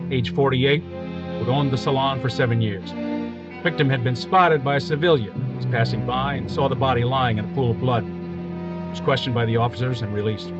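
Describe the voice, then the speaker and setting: An actor playing a male police detective who narrates in a clipped, flat, matter-of-fact way in a parody police radio drama. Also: mid-range voice, highs cut off, natural voice.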